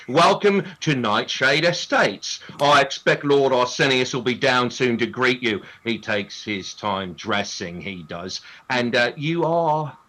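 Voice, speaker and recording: A man's voice: mid-range voice, sharp tone, poor audio. An actor playing a put-upon, indignant working man who mutters and hesitates, in a comic radio play.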